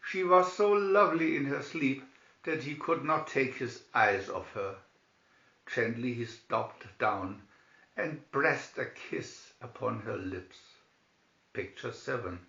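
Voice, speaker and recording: Slow-reading male storyteller in echoey room. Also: mid-range voice, lively intonation, gravelly, bright, forceful tone. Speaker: storybook reader. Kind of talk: scripted fairy tale narration, reading aloud. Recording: dull, roomy amateur recording.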